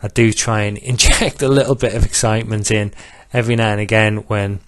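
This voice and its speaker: A man narrates a software tutorial in a low, even monotone. He talks steadily and pauses often on fillers. The sound is dry and close to the mic. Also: dry recording. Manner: self-described monotone, measured with filler pauses. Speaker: betting software demonstrator. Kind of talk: screen-recorded tutorial walkthrough.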